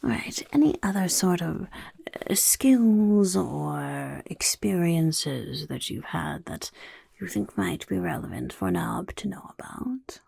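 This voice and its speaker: Casting: a self-important, scheming goblin interviewer for ASMR roleplay; gravelly fry, husky half-whisper, slow muttered delivery. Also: female voice, lowish voice, lively intonation, darkish tone, dry recording, clean studio sound, heavily acted. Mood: probing, sly. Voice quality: raspy, creaky, half-whispered. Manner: speaks of self in third person, muttering, chaotic. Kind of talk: soft-spoken ASMR roleplay.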